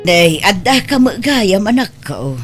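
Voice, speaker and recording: A woman with an Ilocano accent playing a gentle but stubborn elderly mother in a family audio drama. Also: mid-range voice, very animated, unhurried, loud music behind the voice. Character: stubborn, gentle elderly mother attached to her old house. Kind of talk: Ilocano radio-style family drama acting.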